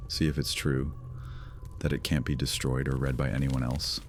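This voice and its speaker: A volatile young vampire leader, played by a man, with an extremely deep, low voice. He broods, then turns cold and threatening. There is a faint hiss behind him. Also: level tone, moderate pace. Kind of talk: audio drama acting.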